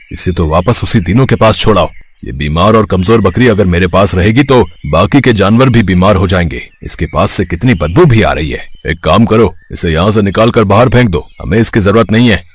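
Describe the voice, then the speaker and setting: A man's voice: low-pitched, animated, normal speed, slightly rough. A man voicing a cruel, greedy rich village master, bossy and rude, in a moral story. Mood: disgusted.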